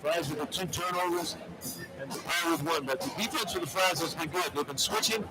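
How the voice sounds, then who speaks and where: Guy doing color commentary on radio hoops, high pitched, plainspoken stats talk, loud music under him. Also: a little fast, low-quality recording. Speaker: color analyst. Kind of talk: live radio basketball analysis.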